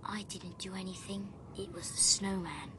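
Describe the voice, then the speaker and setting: A woman playing a small, timid, eerie child in a radio horror drama, with a plaintive, hushed delivery. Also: medium pitch, steady tone, normal speed, noisy background, lightly acted. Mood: eerily calm.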